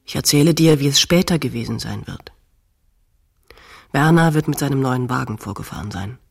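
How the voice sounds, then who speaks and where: A creaky-voiced woman in a radio play. She is practical and impatient, pressing her partner in short, insistent phrases with a husky edge. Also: extremely low pitch, lively intonation, normal speed, heavily acted. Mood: narrating, matter-of-fact.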